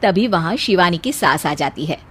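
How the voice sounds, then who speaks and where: A woman's voice: on the low side, animated, normal speed, fairly bright tone, breathy edge. A woman delivering scripted storytelling narration for cartoon family moral stories.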